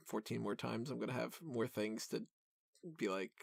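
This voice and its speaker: A man's voice: medium pitch, fairly even intonation, normal speed, creaky, husky, dry recording. A man hosting a casual film review podcast, talking in a rambling, self-deprecating way into a close microphone. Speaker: podcast host.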